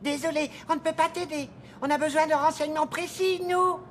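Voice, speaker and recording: A woman voicing a gruff, bossy little male elf in a cartoon, brusque and scolding. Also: sits high, fairly even intonation, brisk, a little hiss or hum, natural voice. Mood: brusque, irritated. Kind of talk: animated cartoon dialogue. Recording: roomy, loud music behind the voice.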